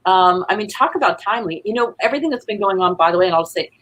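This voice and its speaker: A woman with an average-pitched voice talks as a guest in a casual chat. She is excitable and rambling, breaking off and jumping between thoughts. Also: rapid-fire, low-quality recording. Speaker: podcast guest, playwright.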